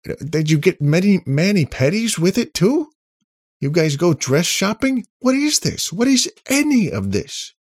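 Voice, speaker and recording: A man's voice: high voice, sing-song, drawn-out words, long pauses, bright, forceful tone, breathy edge, no echo, studio quality, pitched above the performer's own voice, heavily acted. A man voicing an exasperated, sarcastic, hot-tempered man in a story, with an incredulous, mocking delivery.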